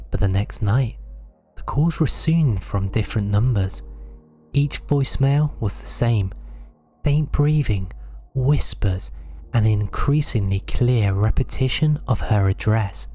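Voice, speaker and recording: A man with a dark, relaxed voice reads scripted horror tales. His pitch sits in the middle range, and he tells each story in a measured, dramatic way, as a narrator.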